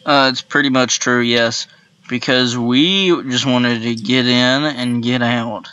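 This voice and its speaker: A man co-hosting a storytelling series, casually improvising as he goes, on a slightly muffled low-fi microphone. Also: steady tone, slow, bright, forceful tone, clear, resonant tone, no room sound.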